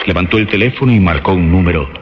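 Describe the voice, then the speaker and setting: A man narrating a suspense radio drama in a dramatic, deliberately phrased style. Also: low-pitched, expressive. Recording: muffled radio sound, music bed behind.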